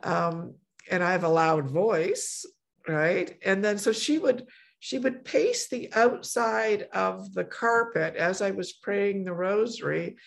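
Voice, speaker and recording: A middle-aged woman hosting a podcast, talking in a relaxed, chatty way. Her voice has a gravelly edge. She keeps stopping and restarting her sentences and fills the gaps with words like "you know.". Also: mid-range voice, slow pace. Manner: chatty, many fillers and restarts. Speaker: podcast host. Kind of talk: casual conversation.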